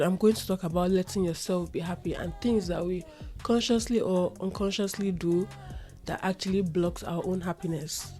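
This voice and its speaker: A woman's voice: lowish voice, mellow. A woman hosting a solo motivational podcast. She sounds slightly nasal because she is getting over a runny nose and an itchy throat, and she talks in an earnest, reflective way, repeating phrases for emphasis. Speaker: podcast host.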